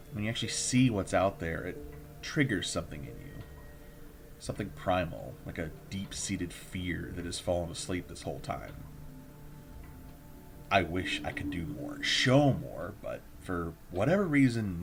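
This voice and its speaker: A man playing a weary, paranoid courier who records a secret confession in an audio drama, speaking hesitantly and dramatically, with foreboding pauses. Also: low voice.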